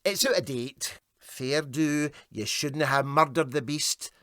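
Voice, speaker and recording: A man's voice: on the high side, a little slow, sharp tone, no echo, clean studio sound. A man voicing a sly, teasing Scottish deckhand in a comic story, full of mock reproach. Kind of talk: comic storybook character reading.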